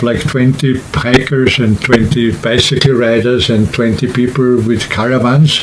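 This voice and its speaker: A man talking casually and unscripted to camera, explaining things in a rambling, halting way like a vlogger. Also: medium pitch, slight background noise, low-quality recording. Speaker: hiking vlogger and trip organizer.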